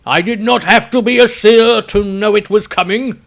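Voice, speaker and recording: A man's voice: sits high, normal speed, creaky, fairly bright tone, pitched above the performer's own voice. A man voicing an old wizard mentor in a fantasy audio story, solemn and kindly, declaiming with grave deliberation. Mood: solemn, tender.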